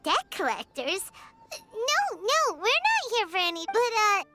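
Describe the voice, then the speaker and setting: A woman voicing a small, childlike fairy companion in a video game, squeaky, chirpy and earnest. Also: huge pitch swings, measured pace, exaggerated voice. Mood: flustered. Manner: chirpy, exclamatory. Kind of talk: English video game dub. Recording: loud music behind the voice.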